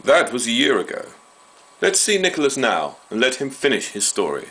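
A formal, melodramatic reporter from a spoof vintage documentary, acted by a man. The voice has a middling pitch with lively rises and falls and a bright, forceful edge. The delivery is earnest and stiffly polite. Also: moderate pace, poor audio. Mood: solemn, formal. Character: formal, earnest old-fashioned TV correspondent.

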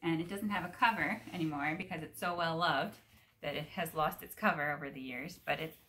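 A woman's voice: deep voice, a little fast, a bit bright, low-quality recording. A woman in her thirties reading aloud and chatting casually with a child at home. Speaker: adult reading a picture book to a child. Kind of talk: casual read-aloud with chat. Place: living room sofa at home. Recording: faint noise, home video.

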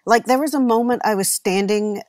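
An actress playing an uneasy, candid in-law in a comedy audio drama, musing and rambling in a naturalistic, hesitant way. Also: female voice, mid-range voice, brisk, darkish tone, no room sound, studio quality, natural voice. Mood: earnest, musing. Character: uneasy, candid woman married into an eccentric family.

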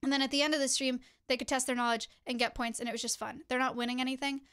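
A woman's voice: high-pitched, flat delivery, very fast, a bit bright, clear, resonant tone. A young woman streamer chatting to her viewers, giving tips in a teacherly, chatty way. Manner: chatty, teacherly, addresses chat directly. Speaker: streamer host.

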